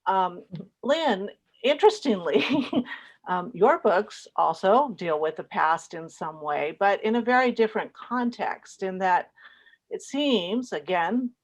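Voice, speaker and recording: A woman's voice: mid-range voice, expressive, slow pace. A woman with an American accent hosting an author interview, reflective and winding her way into questions. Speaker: podcast host interviewer.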